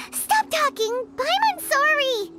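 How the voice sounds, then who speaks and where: Game fairy sidekick: tiny, cheeky, extremely high squeaky voice, loud music underneath. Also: female voice, sing-song, drawn-out words, full character voice. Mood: flustered apology. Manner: refers to self in third person, exclaiming. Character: tiny childlike floating fairy companion, cheeky, squeaky, blunt. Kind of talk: video game character acting.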